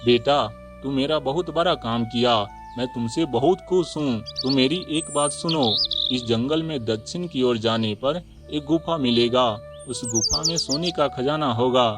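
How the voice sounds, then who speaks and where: A man's voice: mid-range voice, measured pace, few pauses, over music, natural voice. A man voicing a kindly, wise priest in a moral fable, fatherly and reassuring. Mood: pleased, instructive.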